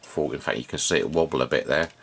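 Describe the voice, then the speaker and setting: A man giving an unscripted, informal hands-on demonstration, thinking aloud in a halting way as he works as a hobbyist repairer. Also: very deep voice, creaky, a bit bright. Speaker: vintage radio repair hobbyist and video presenter.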